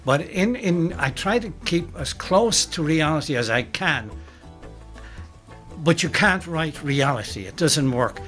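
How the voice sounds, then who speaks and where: A man's voice: on the high side, expressive, unhurried, fairly bright tone, faint music behind. A man with an Irish accent answering interview questions as a dramatist, repeating words as he explains. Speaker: radio dramatist being interviewed.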